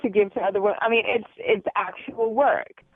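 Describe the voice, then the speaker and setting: A woman's voice: medium pitch, fairly quick, husky. A woman speaking as a guest on a phone call, defensive and insistent. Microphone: telephone. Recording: tinny phone line, faint noise.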